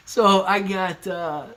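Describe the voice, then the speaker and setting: Male, 30s, slightly high voice; laid-back live-stream host reading chat from home. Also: bright, forceful tone. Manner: laid-back and chatty, laughs often, reads chat aloud. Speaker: stock livestream host. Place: sitting in his living room. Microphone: laptop on a kitchen stool at eye level.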